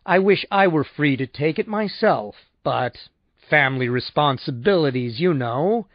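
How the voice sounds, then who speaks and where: Casting: male reader as fussy, unctuous salesman, pompous and deferential, lively high-pitched delivery, audiobook. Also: measured pace, no room sound, muffled recording. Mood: reverent, unctuous. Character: unctuous, easily shocked male insurance salesman.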